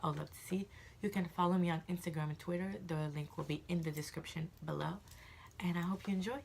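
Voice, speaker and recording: A woman's voice: on the low side, steady tone, quick pace, gravelly, darkish tone, a little hiss or hum. A woman in her twenties from Egypt, chatting casually to camera as a vlogger, rambling with frequent fillers and restarts.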